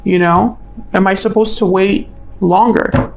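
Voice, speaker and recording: Woman with creaky voice reading tarot. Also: medium pitch, fairly even intonation, unhurried, faint music behind. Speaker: tarot reader, channel host. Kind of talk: informal spoken tarot reading.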